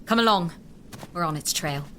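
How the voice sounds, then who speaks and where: Low-voiced, confident noblewoman. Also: lively intonation, moderate pace, sharp tone, slight background noise. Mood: eager. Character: spirited, confident noblewoman, a queen out hunting. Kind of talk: audio drama acting.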